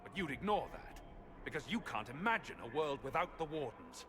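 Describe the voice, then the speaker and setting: A man's voice: fairly high pitch, very animated, a little fast. An actor playing a sardonic, argumentative companion defending his choices. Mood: arguing. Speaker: mage companion. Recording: game audio with ambient noise.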